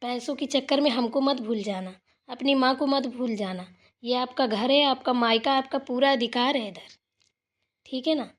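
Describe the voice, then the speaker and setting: A high female voice of an actress plays a generous elder sister-in-law in a village cartoon. She speaks earnestly and reassuringly, persuading in a homely, gentle way. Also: normal speed. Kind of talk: Hindi family moral cartoon dialogue.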